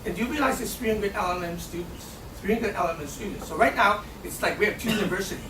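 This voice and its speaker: Guy campaigning in a debate, high voice, keeps saying okay?, echoey room, mic far off, noisy. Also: quick pace. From Hong Kong. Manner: punctuates nearly every phrase with a questioning "okay?". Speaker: student election candidate.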